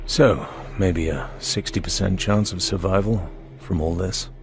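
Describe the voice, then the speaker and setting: An actor with a British accent playing a stubborn, sardonic secret agent in a radio drama, dry and clipped. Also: male voice, low-pitched, unhurried, faint music behind. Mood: wary, polite.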